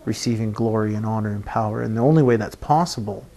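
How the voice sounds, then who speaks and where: A man giving an informal expository sermon as a preacher, halting and thinking aloud, often checking in with a short tag question. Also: on the low side, dark tone. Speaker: preacher teaching a Bible passage.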